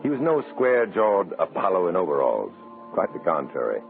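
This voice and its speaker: An actor playing a sardonic, hard-boiled undercover agent in an old American radio drama, on a muffled vintage recording. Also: male voice, low voice, wildly swooping pitch, fairly quick, vocal fry, soft background music, full character voice. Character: wry undercover agent posing as loyal communist comrade.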